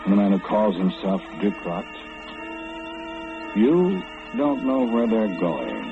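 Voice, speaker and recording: An actor playing an eerie, all-knowing mind reader in old radio horror, speaking slyly and teasingly, straight to the listener. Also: male voice, on the low side, sing-song, slow pace, over music, heavily acted. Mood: ominous. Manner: sly, insinuating, theatrical, speaking confidingly to the listener. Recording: muffled, noisy old radio broadcast.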